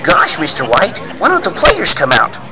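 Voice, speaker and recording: A man voicing an eager, excitable young cub reporter in earnest, boyish 1940s radio style. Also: fairly high pitch, normal speed. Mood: impatient. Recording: crowd noise behind the voice.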